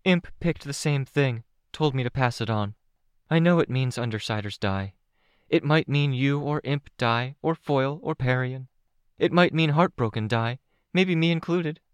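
A man's voice: mid-range voice, clean tone, no echo, clean studio sound, voice pushed up, natural voice. A man voicing a distraught teenage girl who pleads with an emotional hitch in her voice.

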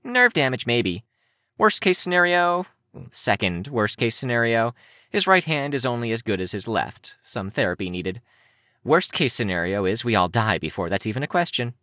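A man's voice: mid-range voice, very animated, moderate pace, no room sound, clean studio sound, voice pushed up. A man voicing a cheery young girl prodigy in a story, eager and morbidly clinical, with a bouncy, curious manner. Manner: bright, bouncy, gleefully curious.

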